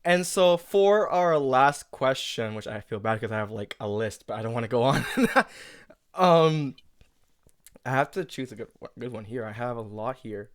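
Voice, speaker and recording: A man's voice: medium pitch, lively intonation, moderate pace, no room sound. A man hosts a casual interview in a clean, resonant voice. His phrasing is informal and a little hesitant as he talks as a creator. The sound is dry, with no room to it. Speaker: interviewer and audio drama creator.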